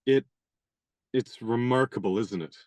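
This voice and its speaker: A woman's voice: very deep voice, unhurried, a little mellow, dry recording. A woman acting an eager, awkward young man who speaks hesitantly in a radio play. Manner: hesitant, stammering starts. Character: eager, awkward young man, shy would-be suitor and writer.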